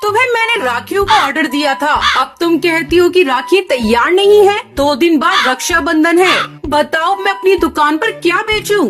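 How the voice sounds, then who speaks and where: A woman's voice: very high voice, animated, moderate pace, hardly any pauses, a bit bright. A woman voicing a bossy, businesslike older female bird shopkeeper in a children's cartoon, assertive and direct. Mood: angry scolding. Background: music.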